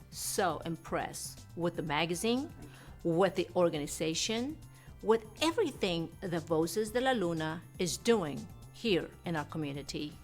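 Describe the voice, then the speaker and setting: A woman from Mexico hosts a TV interview in a studio, her voice sitting on the low side. She speaks in an effusive, gushing way, heaping praise on her guest. Faint music runs quietly behind her. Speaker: TV host.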